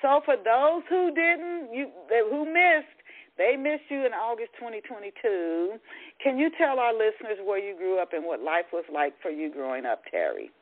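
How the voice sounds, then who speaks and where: A woman hosting a podcast in radio interview style, talking in a halting way and often rephrasing. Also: a little high, expressive. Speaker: podcast host. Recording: thin, phone-like radio line.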